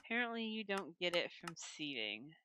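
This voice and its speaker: A woman's voice: lowish voice, sing-song, moderate pace, background noise. A woman casually commenting on her own gameplay at home, rambling and talking to herself as she plays. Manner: rambling, talks to herself while playing. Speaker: gaming channel host. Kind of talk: casual let's-play commentary. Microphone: desk microphone.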